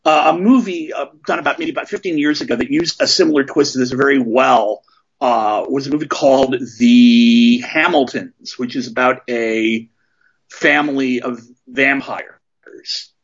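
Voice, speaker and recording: A man's voice: medium pitch, a little slow, slightly rough, lo-fi sound. A man in his forties talking casually on a podcast, rambling with lots of ums and restarts. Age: 40s. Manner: rambling, many fillers and restarts.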